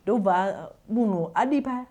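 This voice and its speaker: A woman's voice: mid-range voice, animated, normal speed, a little mellow. A woman with a Zimbabwean accent hosting a show, telling stories and giving advice casually, with repeated words and acted-out retelling. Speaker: host reading listener confessions and giving advice.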